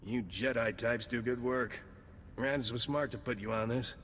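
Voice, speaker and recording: An actor playing a gruff male intelligence agent in a video game, curt and matter-of-fact. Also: medium pitch, fairly even intonation, moderate pace, a bit bright, slight background noise, natural voice. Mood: brisk. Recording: game audio with long reverb.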